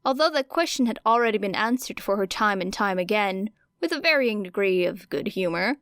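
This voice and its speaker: A woman reading fiction aloud as an audiobook narrator, in an expressive storytelling style. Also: medium pitch, a little fast, no echo, studio quality. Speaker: audiobook reader of fan fiction.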